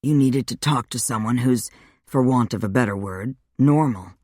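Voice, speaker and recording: A woman voicing a centuries-old male vampire who speaks in low, clipped, dry replies. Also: normal speed, a little mellow, dry recording.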